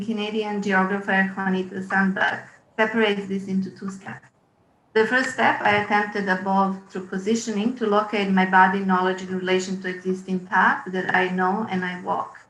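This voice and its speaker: A woman's voice: medium pitch, fairly bright tone, a little hiss or hum. Woman in an echoey room. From Yugoslavia. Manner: hesitant, with frequent ums and uhs. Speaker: curator giving a presentation. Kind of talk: webinar presentation, partly read. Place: room at home. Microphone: video call. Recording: echoey room over a video call.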